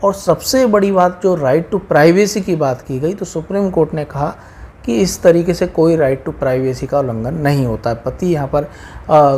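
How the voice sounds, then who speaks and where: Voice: medium pitch, a little mellow. A speaker with an Indian accent explaining law informally to viewers, repeating points and mixing in English legal terms.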